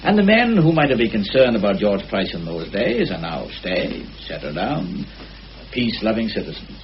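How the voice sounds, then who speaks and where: A man playing a genial, shrewd local police inspector in a radio drama, deliberate and chatty. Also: on the low side, huge pitch swings. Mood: probing, insistent. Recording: muffled, noisy old radio drama recording.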